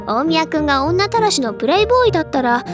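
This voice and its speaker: High-pitched, theatrical bookish schoolgirl. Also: sing-song, fast, over music. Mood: gushing. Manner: theatrical, gushing, feminine polite phrasing. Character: cheerful, bookish teenage girl, literature club president. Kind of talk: Japanese audio drama, anime-style acting.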